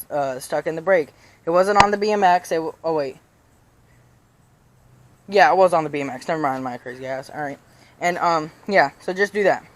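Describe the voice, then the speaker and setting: A young man talks through bike tips in a loose, rambling way, stacking fillers and restarting his sentences. His voice sits a little high and is slightly raspy, and he sounds casual. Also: fairly bright tone. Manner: rambling, full of "you know" fillers and restarts. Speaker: BMX vlogger. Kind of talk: casual how-to vlog. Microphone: chest-mounted action camera.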